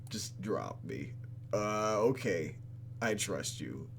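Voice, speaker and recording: A man's voice: lowish voice, very animated, slow pace, creaky, slight background noise. A man hosting a let's play, reading a game script aloud with joking, slangy asides.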